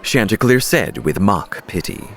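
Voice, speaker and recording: Male narrator for fiction audiobook: lower-pitched, lively intonation, storytelling read. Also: unhurried.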